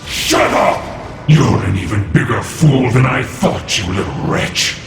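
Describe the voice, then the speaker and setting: A gravelly, haughty demon villain from a video game, scornful and theatrical. He speaks very slowly with big swings in pitch, in an echoing space over loud music. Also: expressive, heavily acted. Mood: outraged. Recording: echoey, loud music underneath.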